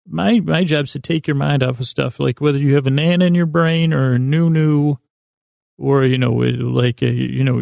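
A man hosting a bedtime podcast in a rambling, halting monologue, often interrupting himself and repeating words, with a gravelly, creaky voice. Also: medium pitch, darkish tone, no room sound, studio quality. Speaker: podcast host. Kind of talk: rambling bedtime monologue to lull listeners to sleep.

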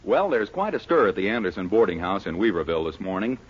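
A man's voice: low-pitched, sing-song, quick pace. An American man working as a radio announcer, reading scripted introductions and ads in a 1940s style. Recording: muffled 1940s radio broadcast, music behind.

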